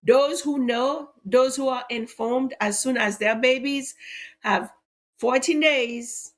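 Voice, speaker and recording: A middle-aged woman who sells insurance, hosting a live show and sounding stuffy and a bit cracky from allergies, making a persuasive pitch that leans on "right?" as a tag. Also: slow. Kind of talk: live-stream sales talk.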